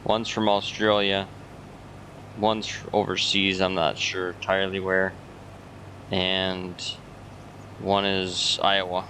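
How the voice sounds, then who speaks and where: A man's voice: low voice, level tone, slow pace, long pauses, bright, forceful tone, a little hiss or hum. A man from Michigan hosting a game stream, easygoing, laughing easily and reading chat aloud. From Michigan, USA. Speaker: streamer host. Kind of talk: casual gaming chat.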